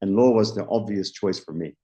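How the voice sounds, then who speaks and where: A South African man around sixty, a company founder answering interview questions in a measured way, often restarting as he searches for words. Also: lowish voice, mellow. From Johannesburg, South Africa. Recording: dull lo-fi recording.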